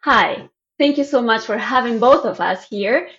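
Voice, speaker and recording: A woman with an Argentine accent, an architect guest telling her story in a friendly, measured way on a podcast interview. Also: on the high side, lively intonation, fairly bright tone, highs cut off.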